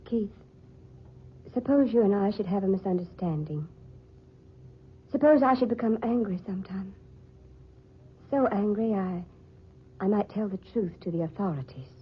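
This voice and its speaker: Guilt-ridden woman, husky, hesitant, old radio melodrama, muffled lo-fi sound. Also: mid-range voice, animated, normal speed, pauses often. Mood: uneasy. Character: uneasy, guilt-ridden woman, lover of a killer. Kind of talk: old-time radio drama acting. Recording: dull lo-fi old radio recording.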